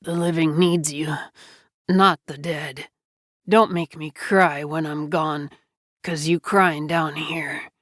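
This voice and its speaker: A woman voicing a gentle dying man in an audiobook, speaking weakly and haltingly with tender, loving strain. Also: sits low, no echo, clean studio sound. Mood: strained, consoling. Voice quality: weak, strained. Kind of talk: dramatic audiobook character acting.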